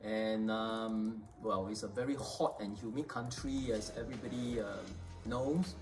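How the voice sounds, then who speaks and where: A man's voice: lowish voice, unhurried. Singaporean man, sounding far from the mic. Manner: relaxed, many fillers, acts out quotes. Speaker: guest. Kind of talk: casual chat. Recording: distant mic with music behind.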